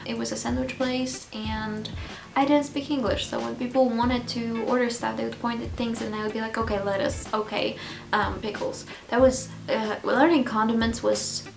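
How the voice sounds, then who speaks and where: A woman's voice: on the high side, little pitch movement, normal speed, creaky, over music. A woman in her twenties from Poland, a native Polish speaker with a Polish accent, chatting casually and a little hesitantly to camera as a vlogger. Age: 20s. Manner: hesitant, slightly nervous. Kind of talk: casual sit-down chat to camera. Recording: roomy indoor echo.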